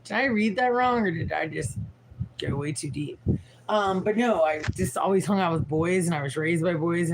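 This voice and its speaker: A tired woman in her forties with a raspy voice, rambling frankly and swearing as she chats on a livestream. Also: low-pitched, background noise. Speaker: livestream host. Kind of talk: casual livestream chat.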